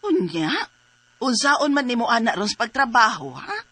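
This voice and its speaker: An actor playing a concerned, exclamatory relative in a radio drama. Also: male voice, very high voice, big pitch swings, normal speed, over music. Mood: concerned questioning.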